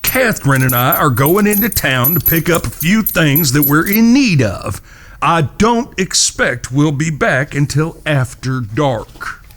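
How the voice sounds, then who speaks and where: A man's voice: on the high side, lively intonation, measured pace, a bit bright. A man playing an irritable, foul-mouthed American cowboy brother in a Western audio drama, with folksy frontier talk. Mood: firm, impatient.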